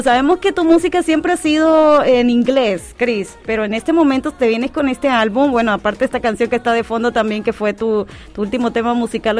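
A woman's voice: a little high, darkish tone. A woman hosting a casual radio interview, chatty and encouraging as she puts questions to a guest.

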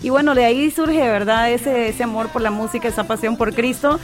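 Female radio host, somewhat high pitch, polished formal interviewer, light music under. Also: steady tone, a little fast. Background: faint background music.

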